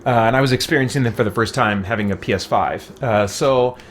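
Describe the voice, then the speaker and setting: A man's voice: medium pitch, brisk, a little hiss or hum. A man chatting casually on a livestream, answering viewers in a hesitant way and searching for words. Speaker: streamer playing a game and answering viewer comments. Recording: music playing behind voice. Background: faint music.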